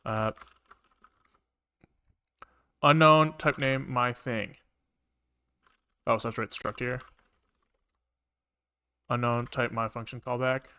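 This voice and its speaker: Bright, clear-voiced man thinking aloud. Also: mid-range voice, fairly even intonation. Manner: muttering to himself, halting, trailing off and questioning himself. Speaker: live-coding streamer, programmer. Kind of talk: casual thinking aloud while programming on a livestream.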